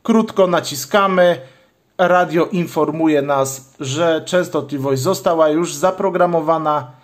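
Man, fairly high-pitched voice, methodical, explanatory, step-by-step demonstration, channel host. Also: a little slow. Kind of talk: step-by-step hands-on tutorial.

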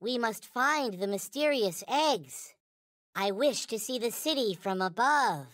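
A man voicing a small, squeaky, childlike toy alien in a video game, earnest and worshipful, with stilted, formal phrasing. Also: expressive, measured pace, fairly bright tone, dry recording, studio quality, heavily acted. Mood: earnest, solemn. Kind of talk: video game character voice lines.

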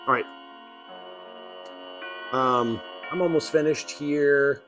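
A man's voice: fairly high pitch, slow, over music. A middle-aged man giving casual, playful commentary as he works, muttering to himself and to viewers. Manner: playful mild exclamations, muttering. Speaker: webcomic artist live streaming. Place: small indoor room with shelves. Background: old radio program playing.

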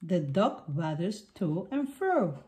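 A woman reading a picture book aloud for small children in simple, deliberate sentences. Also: on the low side, very animated, darkish tone.

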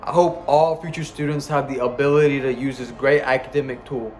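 A young college man from Kentucky talks in a medium voice, calm and unhurried. It sounds like a prepared testimonial, with soft music faintly underneath. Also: medium pitch. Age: young adult. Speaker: student. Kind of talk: scripted testimonial.

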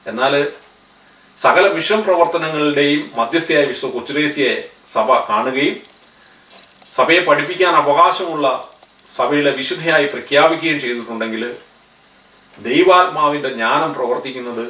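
A man's voice: a little high, moderate pace, pauses often, pushed and bright, reverberant, distant mic, slight background noise. A man in his thirties preaching a sermon to camera in earnest, his voice rising on key phrases. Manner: earnest, gestures with both hands, voice rises on key phrases. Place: studio in front of a backdrop.

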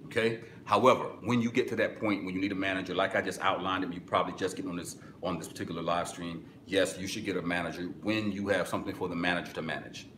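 A middle-aged man with a deep voice, hosting a live-stream webinar and giving direct, instructive answers to viewer questions from a small office. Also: steady tone, fast talker, few pauses, reverberant, noisy background. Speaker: music business webinar host. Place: small office with corkboard, indoors.

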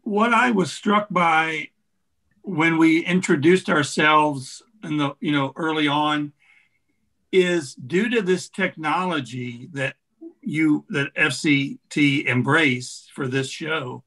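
A middle-aged man speaks earnestly on a video call in a bright, forceful voice on the higher side. He takes his time, leaning on key words as he reflects. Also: takes it slowly. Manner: earnest, emphatic. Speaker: theatre director. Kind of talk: reflective closing remarks. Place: room indoors on a video call. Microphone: video call computer mic.

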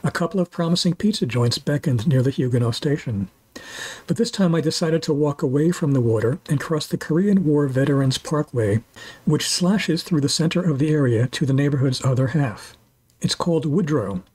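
A man's voice: a little high, expressive, moderate pace, darkish tone, husky, rough recording. A man in his sixties reading a scripted voice-over for a food and walking tour, with dry, wry humor. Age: 60s. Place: voice-over, not recorded on location.

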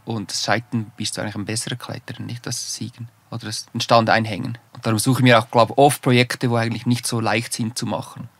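A man's voice: mid-range voice, flat delivery, fast, creaky, few pauses, fairly bright tone. A man in his forties with a Swiss German accent, a professional alpinist as guest, talking in careful standard German with restarts and tag questions. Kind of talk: casual interview talk.

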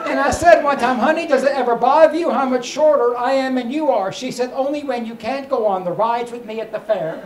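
Middle-aged comedian in an echoey, noisy club. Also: male voice, very high-pitched, a little fast, hardly any pauses. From Kentucky, United States. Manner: storytelling delivery, projected to the room. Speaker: stand-up comedian. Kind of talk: stand-up comedy routine with crowd work. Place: small comedy club stage, indoors. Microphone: handheld microphone.

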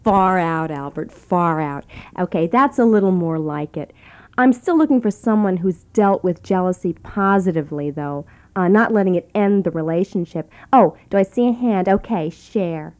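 A woman's voice: on the low side, animated, normal speed, darkish tone, lightly acted. Woman acting a glib self-help seminar leader. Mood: brisk, patronizing. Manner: glib, slangy, instructing. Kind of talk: satirical radio comedy acting.

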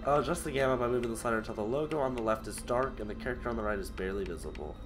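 A young man with a stuffy, sick-sounding nose narrates a horror game, jittery and nervous. He yelps and laughs as he talks into a headset mic at home. Game music plays clearly behind him. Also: medium pitch, racing. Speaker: gaming channel host. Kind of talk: horror game let's play commentary.

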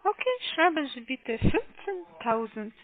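A woman call-centre caller with a foreign accent in non-native speech, giving halting, insistent instructions and repeating her questions over a muffled phone line. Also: sits high, very animated. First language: not German. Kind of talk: scripted phone sales instructions.

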